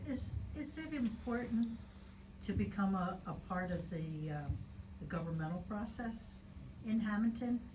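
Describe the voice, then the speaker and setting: Woman in audience, lively pitch, hesitant restarts, distant mic, noisy room. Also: a little slow. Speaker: audience member asking a question.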